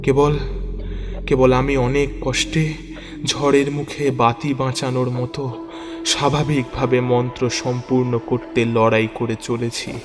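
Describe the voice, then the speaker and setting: Slow, dramatic man in deep reverb. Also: little pitch movement. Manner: theatrical, halting with repeated phrases and suspenseful pauses. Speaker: audio story narrator. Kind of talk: dramatic first-person scripted horror story narration. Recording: long reverb, music bed underneath. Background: background music.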